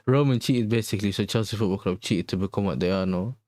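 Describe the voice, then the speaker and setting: A man with a London accent talks in a slightly low voice with a dry, close sound. He hosts a casual livestream, slangy and conversational, often circling back over his own phrases as he chats with viewers. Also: sing-song, moderate pace, a little mellow, dry recording. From Netherlands. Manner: slangy, conversational, repeats himself. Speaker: livestream host and football fan.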